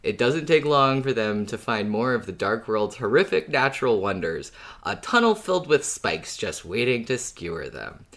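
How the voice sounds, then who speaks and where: A man's voice: mid-range voice, big pitch swings, normal speed, fairly bright tone. A man hosting a fan podcast, chatting playfully in jokey, mock-dramatic bro slang. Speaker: podcast host.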